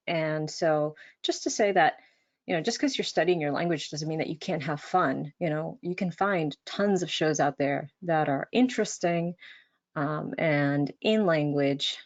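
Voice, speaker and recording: A woman's voice: low voice, lo-fi sound. A woman, a native Spanish speaker, giving a casual, encouraging language-learning webinar with many fillers. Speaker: language instructor. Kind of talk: webinar presentation.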